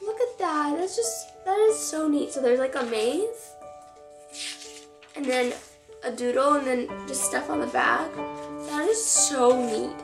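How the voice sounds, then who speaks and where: A young woman with a hoarse, fading voice, chatting excitedly to camera from her couch as a vlogger. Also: high-pitched, measured pace, echoey room, music in the background. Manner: gushing, excitable. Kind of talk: casual chat to camera. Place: living room, on a couch.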